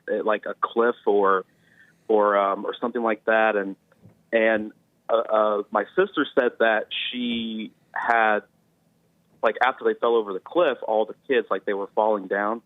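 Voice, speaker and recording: A man's voice: on the low side, steady tone, measured pace, no echo. A young man calling in to a talk show by phone, speaking hesitantly with lots of fillers. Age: young adult. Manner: hesitant, many fillers and restarts. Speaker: caller. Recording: tinny phone line.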